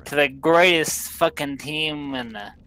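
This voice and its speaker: A man's voice: fairly high pitch, fairly even intonation, unhurried, creaky, sharp tone, slight background noise. A young man with a Southern American accent, drunk, chatting while he streams a game, rambling bluntly and swearing. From Southern United States. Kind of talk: casual chat while gaming.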